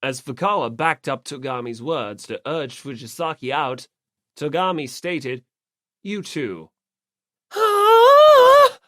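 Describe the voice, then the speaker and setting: A woman's voice: a bass voice, measured pace, fairly bright tone, dry recording, clean studio sound. A woman reading scripted story narration as an audiobook narrator.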